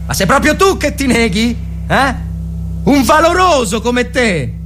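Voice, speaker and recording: A man's voice: very high voice, very animated, measured pace, background noise, heavily acted. A man playing a desperate wartime lieutenant in a dramatic reading, insistently swinging between coaxing and threats. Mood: coaxing, incredulous. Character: desperate young First World War lieutenant pleading and threatening. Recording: music behind the voice.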